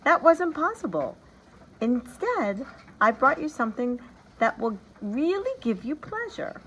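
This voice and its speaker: A woman voicing an eccentric old godfather with a rasping, monotonous sing-song voice. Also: high voice, measured pace, slight background noise, pitched above the performer's own voice, heavily acted, rough recording. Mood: jovial.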